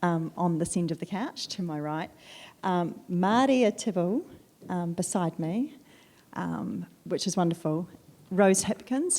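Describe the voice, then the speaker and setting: A woman chairs a conference panel and introduces the speakers. She is gracious and hesitant, and fills pauses often. Her voice is low-pitched and mellow. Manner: gracious, hesitant, with many fillers. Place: conference hall, seated on a sofa.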